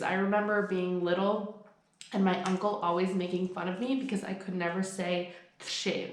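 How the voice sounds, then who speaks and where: A young woman talking casually to camera in an upbeat, chatty way, explaining things with examples. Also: mid-range voice. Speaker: vlogger. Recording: echoey room.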